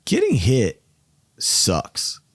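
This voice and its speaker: Male podcast host, late 30s, lively pitch, profane and animated. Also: drawn-out words, breathy edge, no echo, studio quality. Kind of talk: casual chat.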